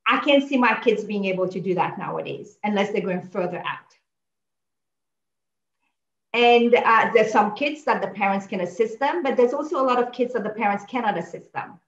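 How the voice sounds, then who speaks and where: A woman in her forties from Mauritius with a French-accented English, speaking earnestly and passionately as a political candidate on a video call from a home office. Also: medium pitch. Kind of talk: campaign talk on a video call. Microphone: video call webcam. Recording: echoey, dull lo-fi call audio.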